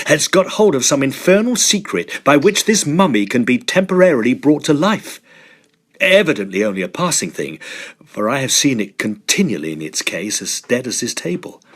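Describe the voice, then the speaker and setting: Audio drama: earnest, frightened young Victorian scholar played by a man, animated and agitated, formal diction. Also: medium pitch, breathy edge. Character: shaken young Victorian Oxford student, earnest and fearful.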